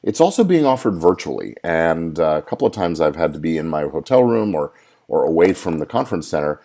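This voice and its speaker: A man's voice: very deep voice, sing-song, fairly quick, dark tone. A middle-aged man hosting his own live podcast, chatty and casual, with many fillers and restarts. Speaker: podcast host, lawyer. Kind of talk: solo live podcast talk. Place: hotel at a conference.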